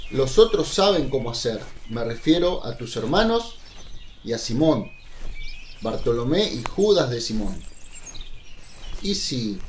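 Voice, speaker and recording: An actor playing a humble, curious, talkative fisherman disciple in a radio drama, with a folksy, animated manner. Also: mid-range voice. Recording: echoey room with some background noise.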